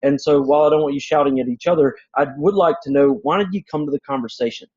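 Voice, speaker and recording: A man from Louisiana speaks at a conference session with a loud, chatty delivery and often restarts his words. His voice has a husky edge. The recording is dull and lo-fi. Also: fairly high pitch, fast. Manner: loud, chatty, repeats word starts. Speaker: conference presenter. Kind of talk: conference session talk, audience participation.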